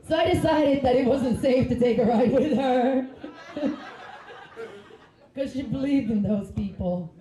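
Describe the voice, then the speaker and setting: A woman's voice: sits high, dark tone, roomy sound, rough recording. Giggly woman doing stand-up. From Finland. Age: 30s. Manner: chatty, giggly, rambling asides. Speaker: stand-up comedian. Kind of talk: stand-up comedy set. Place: comedy club stage.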